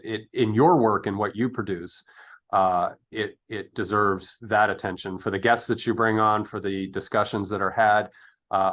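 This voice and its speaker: Guy podcast interviewer, kinda low voice, casual and jokey, halting a bit as he gets to the question. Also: fairly bright tone.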